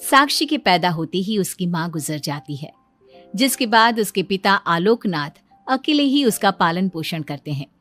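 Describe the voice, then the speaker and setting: A woman's voice: fairly low pitch, sing-song, normal speed, fairly bright tone. A woman narrator reading a scripted moral story. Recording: music behind the voice.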